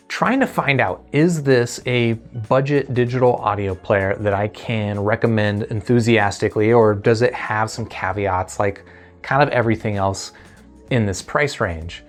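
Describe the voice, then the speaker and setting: A man giving a casual product review as host, conversational and thinking aloud as he goes. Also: lowish voice. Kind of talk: casual unboxing and review talk.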